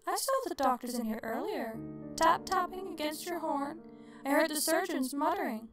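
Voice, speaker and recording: A woman voicing a gleefully morbid ghost in a storybook tale, with theatrical, drawn-out taunting. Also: high voice, loud music behind the voice, voice pushed up. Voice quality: groaning, creaky, cackling delivery.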